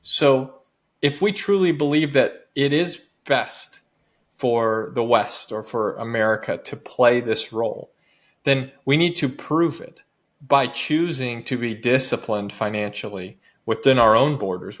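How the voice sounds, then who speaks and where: A man's voice: mid-range voice, a little slow. A man appearing as a guest author, making an earnest, persuasive argument that builds its points through repetition. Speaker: guest author and advocacy director.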